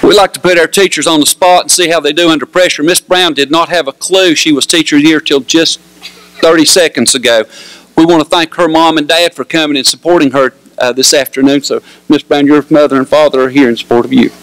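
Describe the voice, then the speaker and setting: A man speaks as a principal at a school ceremony. His voice sits a little high for a man and sounds close and dry on the microphone. He delivers formal thanks and introductions in a measured, courteous manner. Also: fairly even intonation, a bit bright, dry recording, rough recording. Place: auditorium.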